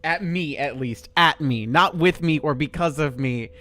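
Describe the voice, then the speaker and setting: A man's voice: fairly high pitch, a little slow, bright, forceful tone. A man in his thirties streaming a horror game, chatting in a rambling, laid-back way with a lot of swearing. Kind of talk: casual gameplay commentary.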